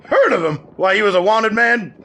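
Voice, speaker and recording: A proud frontier sheriff who snaps out orders with a tense, bright edge to his voice. He sits fairly high in pitch for a man and does not suffer fools. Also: big pitch swings, fairly quick, full character voice. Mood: gruff. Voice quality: brusque, commanding. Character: gruff, proud male town sheriff. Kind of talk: radio drama acting.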